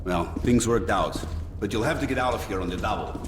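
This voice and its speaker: A man's voice: on the low side, brisk, close to an everyday voice. A man playing a terse survivor guide in a post-apocalyptic video game, giving instructions. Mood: urgent, helpful. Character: gruff adult male survivor guide in post-apocalyptic Metro. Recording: roomy, distant, clearly noisy game audio.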